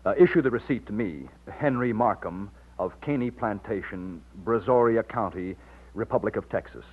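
An actor in an old radio drama playing an earnest, loyal, plain-spoken overseer from Texas. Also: male voice, lowish voice, normal speed, close to an everyday voice. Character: earnest, loyal Texan plantation overseer and war veteran. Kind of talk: old-time radio drama acting with narration. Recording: muffled lo-fi old radio recording.

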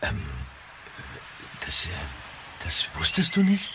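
An earnest teenage sidekick in an audio drama. He talks haltingly and tactfully, with a widely moving pitch. Ambient noise is clear behind him. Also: wildly swooping pitch, sharp tone, heavily acted. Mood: hesitant, earnest. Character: hesitant, earnest teenage boy detective sidekick. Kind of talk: German audio drama acting.

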